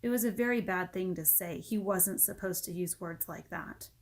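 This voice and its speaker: A woman reading a children's storybook aloud as an expressive narrator. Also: fairly low pitch, moderate pace. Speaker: storybook reader.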